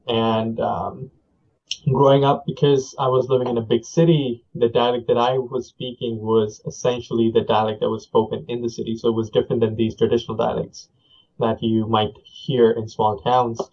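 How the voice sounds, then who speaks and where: Soft-spoken Indian-born man, flat delivery. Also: mid-range voice, normal speed. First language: Punjabi. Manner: thoughtful, many fillers and restarts. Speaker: guest. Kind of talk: conversational interview. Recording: dull lo-fi audio.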